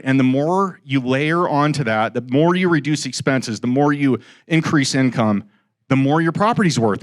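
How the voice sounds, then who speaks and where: Joking man in his forties addressing a room. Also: medium pitch. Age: 40s. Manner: joking, chatty, teases listeners in asides. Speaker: guest speaker at an investor meetup. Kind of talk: informal talk to a live audience. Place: room with a live audience.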